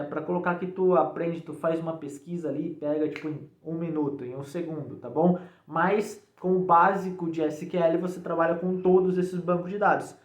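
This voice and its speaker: A man with a Brazilian accent giving a casual, informal explanation to viewers, often checking in with short tags. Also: sits high. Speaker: programming tutorial YouTuber. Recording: echoey untreated room.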